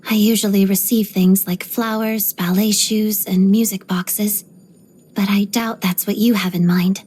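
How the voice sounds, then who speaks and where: An actress voicing a reserved, disciplined young ballerina in a video game, speaking softly in a measured, guarded way with quiet pride. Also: female voice, medium pitch, steady tone. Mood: polite, hesitant. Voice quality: soft, breathy. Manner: measured, composed, polite but guarded. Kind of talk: video game character voice lines.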